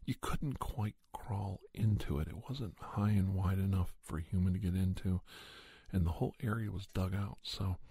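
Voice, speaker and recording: A man plays an earnest investigator of strange creatures, recounting his hunt as a soft ASMR story. The voice is lowish and very mellow, with a heavily breathy, husky grain. He speaks haltingly, pausing mid-sentence as he pieces the account together. It sounds close and dry. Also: no echo, exaggerated voice. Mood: hushed, musing. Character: Doctor Andrew Michaels, earnest monster investigator recounting a hunt. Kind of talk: ASMR storytelling, told as first-person account.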